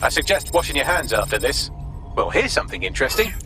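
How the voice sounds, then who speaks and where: An actor playing a dry, witty artificial intelligence assistant in a video game, composed and articulate. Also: male voice, medium pitch, sing-song, fast talker, over loud music, natural voice. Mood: wry.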